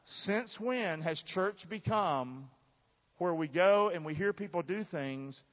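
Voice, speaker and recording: A man's voice: high-pitched, animated, slow. A man with a Southern American accent preaching a sermon in an emphatic, folksy way, putting questions to his congregation. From southern United States. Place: large church room. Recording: dull, reverberant large hall sound.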